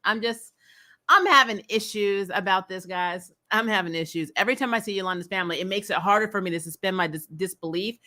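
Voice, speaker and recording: A woman's voice: mid-range voice, brisk, sharp tone. A woman hosting a casual livestreamed TV recap, speaking in an emphatic, blunt, opinionated way with casual swearing. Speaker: host of a TV show recap channel.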